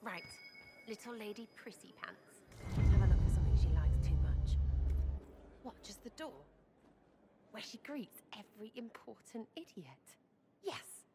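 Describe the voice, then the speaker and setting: A woman's voice: on the high side, lively intonation, unhurried, long pauses, over loud music. A woman playing a mischievous, irreverent young female rogue in a video game, with cheeky, slangy, informal delivery. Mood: mischievous, conspiratorial. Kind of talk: video game character dialogue. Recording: long reverb, faint background noise.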